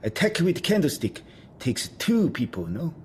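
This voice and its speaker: A man's voice: on the high side, sing-song, measured pace, slight background noise. A man playing a solemn, cryptic, intense neighbour in an audio drama, speaking in grave clipped pronouncements. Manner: grave, declarative, clipped phrasing.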